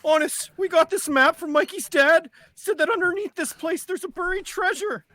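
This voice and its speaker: Woman playing very shrill, panicky young boy. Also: a bit bright, no echo. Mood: panicked, confessing. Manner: pleading, tearful, halting. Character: panicky young boy captive, blurting confessions. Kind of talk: amateur table read of a film script.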